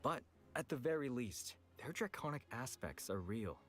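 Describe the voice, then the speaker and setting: A man as a frank, animated weapons merchant. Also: medium pitch, moderate pace, close to an everyday voice. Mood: grave storytelling. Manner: casual, blunt, expressive. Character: frank, world-weary male weapons merchant, grandson of a shopkeeper. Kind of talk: scripted game voice acting. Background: background music.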